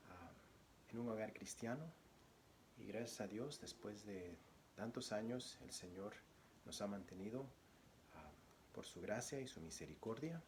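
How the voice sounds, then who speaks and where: A man from Guatemala, a church deacon, introducing himself as a guest preacher and reading scripture, speaking softly with many pauses. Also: lowish voice, slight background noise. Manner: soft-spoken, frequent pauses and fillers; louder, declamatory when reading scripture.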